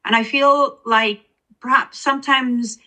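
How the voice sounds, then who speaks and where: Woman, Estonian accent, bright voice, hesitant, restarts a lot. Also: on the high side, steady tone, unhurried. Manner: hesitant, many restarts and fillers, earnest. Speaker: author and poet, guest. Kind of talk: reflective interview conversation.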